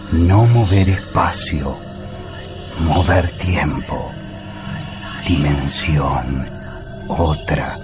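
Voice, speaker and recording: An actor playing a calm, friendly old alien in a radio drama, speaking solemn, halting broken phrases. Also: male voice, sits low.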